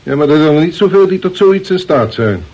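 An actor playing a shrewd, sarcastic police inspector in a radio play, polite, measured and quietly insinuating. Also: male voice, a little high, lively intonation. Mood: probing. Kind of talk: Dutch radio play acting. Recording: dull, lo-fi radio play recording.

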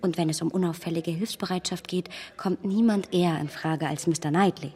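An actress playing a strong-willed, self-assured young heroine who talks emphatically in a hushed voice, in a radio play. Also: female voice, on the low side, steady tone, a little fast, slightly rough.

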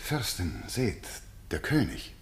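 An actor playing a courtly, gallant royal guest in a classical radio play, with poetic, declamatory delivery in an echoey room. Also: male voice, low voice, wildly swooping pitch, slow, creaky, a little hiss or hum, exaggerated voice. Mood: concerned.

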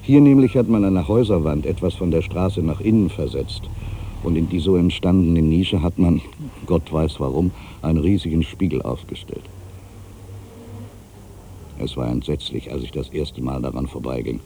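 A man playing an ageing, solitary writer who broods on his past in a deliberate, reflective literary monologue. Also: rumbling low, dark tone, slight background noise. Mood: uneasy, pensive. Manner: brooding, deliberate, with pensive pauses. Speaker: monologue performer. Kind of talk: literary dramatic monologue, reflective first-person narration.

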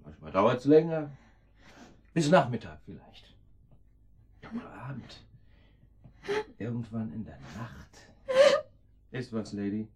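An actor playing a smooth-talking, ironic sidekick crook in a radio crime play, courteous in a flippant way. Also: male voice, fairly low pitch, big pitch swings, slow, pauses often, a little mellow, slight background noise. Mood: wry, flippant. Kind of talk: radio crime drama acting.

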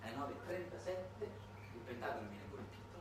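A man performing a dramatic storytelling monologue, emphatic and sardonic, with halting pauses. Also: medium pitch, expressive. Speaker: stage monologue performer and narrator. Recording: echoey room, distant mic, noisy background.

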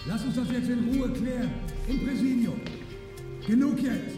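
An actor playing a smug police squad leader, goading with mock courtesy in a radio crime drama, heard muffled and distant. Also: male voice, very high voice, normal speed, over music. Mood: mock-courteous. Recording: muffled, very echoey, distant from mic.

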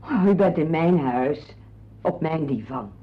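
An actress playing a caring, fussing married hostess in a radio play. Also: female voice, low voice, lively intonation, a little slow. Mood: concerned, soothing. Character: solicitous married woman soothing a shaken guest. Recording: dull old radio drama recording.

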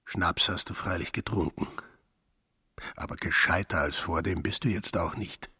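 A gruff sailor in a radio play, with a gravelly edge to his voice. He is brusque and demanding, a suspicious, hard-drinking type. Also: sits low, moderate pace, bright, forceful tone, exaggerated voice. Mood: brooding. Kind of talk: radio drama acting.

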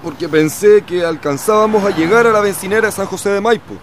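A man's voice: high voice, a little fast, a little hiss or hum, lightly acted. An actor playing a good-natured, improvising Chilean family father in a radio drama. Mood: defensive.

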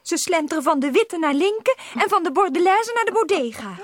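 A woman's voice: very high-pitched, lively intonation, fairly quick, no echo. A woman acting a sulky, lovestruck teenage society girl in a period radio drama, petulant and pouting. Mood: indignant.

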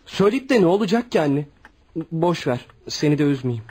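A troubled sixteen-year-old boy in a radio play. The voice is high for a male and husky, with an earnest, downcast way of protesting and a protective streak. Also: sing-song, brisk, dark tone. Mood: sullen, downcast. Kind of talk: scripted radio drama acting.

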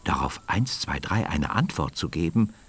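A man's voice: deep voice, big pitch swings, normal speed. A man narrating a scripted children's radio story as its storyteller. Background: music.

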